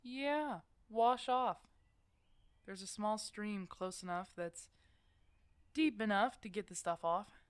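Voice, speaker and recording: A woman's voice: medium pitch, slow pace, long pauses, smooth, dry recording, lightly acted. A woman playing a protective, teasing werewolf mentor in an ASMR roleplay, casual and bossy but affectionate. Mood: teasing, coaxing. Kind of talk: ASMR roleplay audio drama addressed to the listener.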